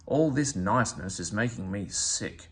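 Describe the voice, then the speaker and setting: A man's voice: fairly low pitch, animated, a little slow, a bit bright, pitched below the performer's own voice. A man voicing a grumpy, easily disgusted furry grouch who grumbles and complains, from a children's storybook.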